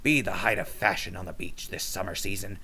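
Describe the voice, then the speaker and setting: An actor playing a wry, crude post-apocalyptic radio DJ, delivering comedic patter and mock sponsor spots. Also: male voice, deep voice, a little fast, vocal fry, bright, forceful tone, exaggerated voice. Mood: promotional. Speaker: radio host.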